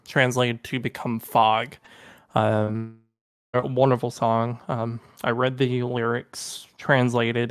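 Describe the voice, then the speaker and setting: A man's voice: medium pitch, no echo. A young man co-hosting a podcast, chatting casually and enthusiastically about music, with lots of ums and restarts. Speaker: podcast co-host. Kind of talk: casual music review chat.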